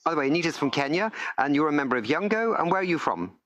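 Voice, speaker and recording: A man's voice: a little high, very animated, racing, fairly bright tone, no echo, lo-fi sound. A man hosting a live panel in an informal, hesitant, unscripted way. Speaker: co-host, panel moderator.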